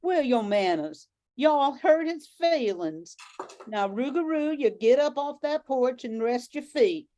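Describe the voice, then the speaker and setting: Woman playing a slow-talking, folksy grandma. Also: sits high, animated, warm tone, a little hiss or hum. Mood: scolding. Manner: folksy, scolding, coaxing. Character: feisty, bossy Cajun grandmother fisherwoman with a kind heart. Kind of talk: amateur radio drama acting.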